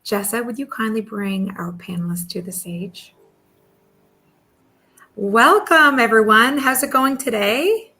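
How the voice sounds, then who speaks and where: A woman's voice: a little high, slow pace, long pauses, bright, forceful tone. A woman moderating an online panel, upbeat and welcoming, speaking over a video call.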